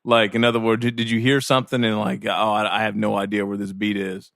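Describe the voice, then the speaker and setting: A man's voice: lowish voice, level tone, fast talker, creaky, a bit bright, no room sound, studio quality. A man hosting a radio interview, asking questions casually with restarts. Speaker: radio host.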